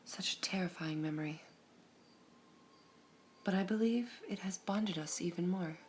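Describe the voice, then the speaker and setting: A woman voicing a serene all-seeing female gem in an ASMR roleplay, whispering softly in a measured, formal way. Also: fairly low pitch, slight background noise, close to an everyday voice. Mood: calm whispering.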